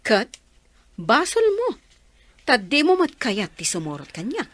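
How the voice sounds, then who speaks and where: A haughty, scornful Filipino rich wife in a radio drama. Her mid-pitched voice swings widely as she defies and dismisses, recorded dry and close. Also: wildly swooping pitch, measured pace, fairly bright tone, no echo, exaggerated voice. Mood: haughty, defiant.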